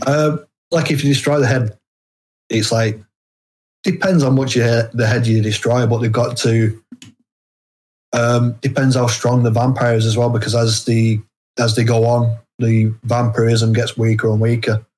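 Even-toned British man, casual and halting. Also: mid-range voice, rough recording. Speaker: guest, indie horror author. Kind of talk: casual interview chat.

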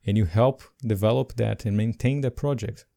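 A man with English as a second language, co-hosting a podcast in casual chat, animated and laughing between points. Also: fairly low pitch, normal speed, gravelly. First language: not English. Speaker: podcast co-host.

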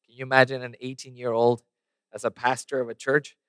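A man's voice: mid-range voice, level tone, a little fast, a bit bright, clean tone, no echo. A man preaching a sermon and praying, losing his voice a little, with many fillers and restarts. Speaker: pastor. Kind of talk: sermon with prayer.

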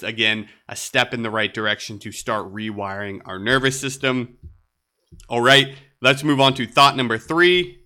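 A man's voice: mid-range voice, bright, forceful tone. A man hosting a solo podcast, sounding nasal and congested, upbeat and chatty with light laughs. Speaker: podcast host.

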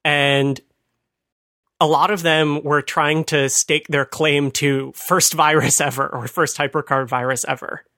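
A man's voice: on the high side, level tone, a little slow, pushed and bright, dry recording. A man co-hosting a conversational talk, explaining things with dry amusement. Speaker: podcast host. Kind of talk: conversational retro computing commentary.

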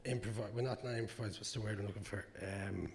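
An Irish man with a low, gravelly, naturally hoarse voice talks through his craft in a loose, rambling way, swearing now and then. His words trail off into a long reverb from the hall around him. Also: fairly even intonation, fast, a little hiss or hum. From Ireland. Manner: candid, rambling, with fillers and swearing. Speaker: actor guest. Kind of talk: live Q&A answers.

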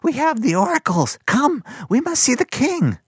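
Guy doing a high screechy nasty old master, barks orders, pitch jumps all over, dry sound. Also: big pitch swings, normal speed, breathy edge, no echo, full character voice. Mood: gleeful barking. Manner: harsh, barking, contemptuous. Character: cruel scheming old male master, screeching.

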